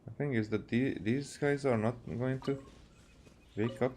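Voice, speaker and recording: A man doing casual gameplay commentary as a streamer, with a halting, hesitant delivery. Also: mid-range voice, fairly even intonation, darkish tone, slight background noise. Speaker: game streamer. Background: game music.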